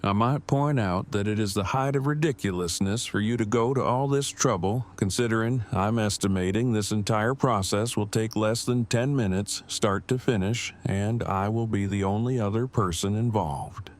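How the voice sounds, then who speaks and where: A man's voice: fairly low pitch, moderate pace, few pauses, lightly acted. A man playing a wordy, pedantic but devoted groom in an audio drama, speaking in stilted, formal phrases. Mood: dry, patient.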